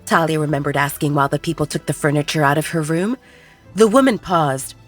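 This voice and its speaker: A woman narrating a scripted fiction story in a measured, expressive storytelling manner. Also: low-pitched, faint music behind. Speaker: audiobook narrator.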